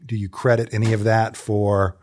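A man's voice: on the low side, flat delivery, moderate pace, darkish tone, slight background noise. A man hosting an interview, asking questions in a conversational style. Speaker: interviewer, show host.

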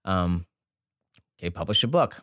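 Low-voiced man in casual, dry-sounding interview. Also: expressive, moderate pace, pushed and bright, dry recording. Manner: informal, thinks aloud, often breaks off and rephrases. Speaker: podcast guest, entrepreneur and author.

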